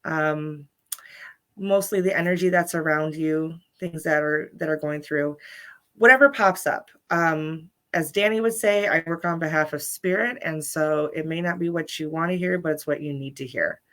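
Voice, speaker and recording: Woman guest, low bright voice, bubbly with ums, healer talking casually. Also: normal speed. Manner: bubbly, many ums and restarts. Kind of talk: casual interview chat. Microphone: livestream video call.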